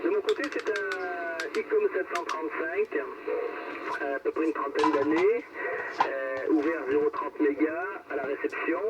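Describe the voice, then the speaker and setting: A man's voice: on the high side, a little slow. A man making a casual radio exchange as an operator, methodically spelling out call signs over a radio link. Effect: radio transmission. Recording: noisy, muffled, distant radio reception.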